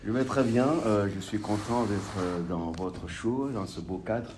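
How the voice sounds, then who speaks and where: A man's voice: on the low side, unhurried, noisy background. A man from Congo, a guest author and police officer answering interview questions with many fillers and restarts. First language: French. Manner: hesitant, many fillers and restarts, grows animated.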